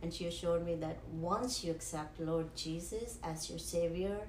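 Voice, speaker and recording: A woman, giving a halting personal testimony as a guest, with many pauses and ums. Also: fairly low pitch, darkish tone, a little hiss or hum. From Punjab, India. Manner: halting, with frequent ums and restarts. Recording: echoey room.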